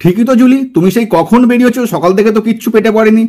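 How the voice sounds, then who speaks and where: A man acting as a young boyfriend in a puppet drama, with an unusually high voice and rapid delivery. He is eager to please, coaxing and apologetic, and slips English words into his pleading. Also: a little mellow, natural voice. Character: eager, placating young boyfriend, easily bossed around.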